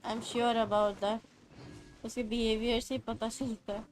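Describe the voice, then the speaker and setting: A woman's voice: fairly high pitch, level tone, very fast, a little hiss or hum, low-quality recording. A woman with an Indian accent giving casual game livestream commentary, slangy, teasing and joking as she reacts.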